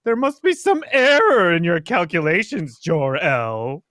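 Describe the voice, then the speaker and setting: A man's voice: high-pitched, huge pitch swings, a little slow, pushed and bright, no room sound, studio quality, pitched below the performer's own voice, exaggerated voice. A man playing a pompous, declamatory council chairman in an old-time radio drama. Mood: placating, dismissive. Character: pompous council chairman calling for order, dismissive.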